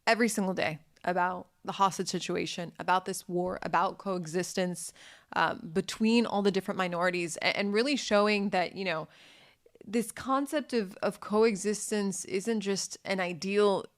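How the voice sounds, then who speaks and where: A woman's voice: mid-range voice, fairly even intonation, normal speed. A woman hosting an interview podcast, asking thoughtful questions with hesitant, stammered restarts. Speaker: podcast host.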